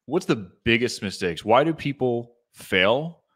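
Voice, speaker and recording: A man hosting an interview, asking measured, probing questions. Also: mid-range voice, animated, a bit bright. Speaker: podcast host.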